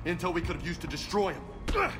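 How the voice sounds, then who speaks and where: An actor playing a brash, guarded young space marine in a video game, with a casual, slangy swagger. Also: male voice, high-pitched, very animated, brisk, music in the background. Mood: bitter, guarded.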